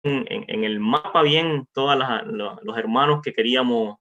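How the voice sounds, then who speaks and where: A man addresses a church congregation informally. His voice is bright and forward, and he halts often between phrases. The sound is dull, like a low-quality online meeting. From Cuba. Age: 40s. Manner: halting, with frequent pauses. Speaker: visiting church preacher. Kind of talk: informal testimony and greeting to a congregation. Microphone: remote online meeting microphone.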